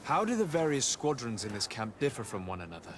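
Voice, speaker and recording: An actor playing a polite, inquisitive noble diplomat in a video game, with formal, probing speech. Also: male voice, mid-range voice, very animated, quick pace, lightly acted. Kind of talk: video game cutscene acting. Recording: outdoor ambient noise throughout.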